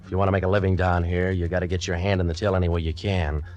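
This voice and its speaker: Actor as a deep-voiced cynical private eye. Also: male voice, flat delivery, very fast, a little mellow, faint music behind, natural voice. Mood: wry, cynical. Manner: wry, world-weary. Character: cynical hard-boiled waterfront private investigator for hire. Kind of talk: 1940s radio detective narration.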